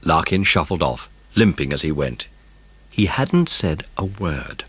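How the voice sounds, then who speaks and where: A man's voice: a bass voice, animated, measured pace, fairly bright tone, breathy edge, exaggerated voice. A man narrating a dramatised children's storybook. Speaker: audiobook narrator.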